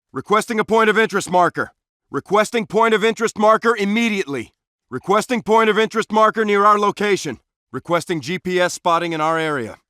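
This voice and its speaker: A man's voice: high voice, pushed and bright, clear, resonant tone, dry recording, studio quality. A man playing an alert male SWAT police officer in a video game, giving short, clipped, commanding tactical callouts. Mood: controlled, official. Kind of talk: tactical shooter voice lines, short radio-style callouts.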